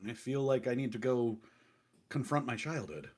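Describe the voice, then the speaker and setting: A man's voice: mid-range voice, normal speed, a little mellow. A man hosting a podcast in casual chat, joking at his own expense and swearing freely. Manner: jokey, self-deprecating, swearing. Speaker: podcast host.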